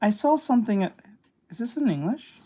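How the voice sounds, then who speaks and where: A woman artist chatting casually while she draws, reacting with quick exclamations and plenty of swearing. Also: on the high side, sing-song, background noise. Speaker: artist streaming while drawing. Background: music.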